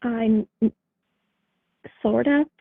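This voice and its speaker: A woman in her twenties calling in, hesitant and halting in her answers. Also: on the high side, fairly even intonation, creaky, no room sound. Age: 20s. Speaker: caller. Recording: tinny phone-like call audio.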